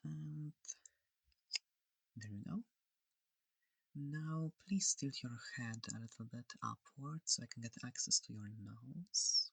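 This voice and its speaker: A person voicing a curious, polite alien scientist in an ASMR roleplay, soft-spoken, hesitant and reassuring, breathy and close to the microphone. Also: medium pitch, takes it slowly, pauses often, no room sound, exaggerated voice, poor audio. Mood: gentle, reassuring.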